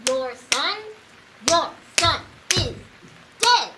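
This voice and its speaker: A young woman acting a stern, exasperated small-town sheriff in a high school stage comedy, recorded on an echoey stage. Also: very animated, very slow, heavy background noise, heavily acted. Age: teen.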